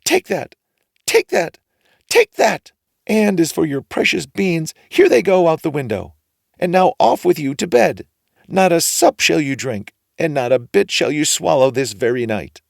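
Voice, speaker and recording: Storybook widowed mother, acted by a man, shift+ high voice, fretful, hot-tempered, swooping intonation. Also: very animated, a little slow, creaky, no room sound, studio quality, exaggerated voice. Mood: furious, scolding. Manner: exclaiming, repeating phrases indignantly. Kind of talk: storybook character reading.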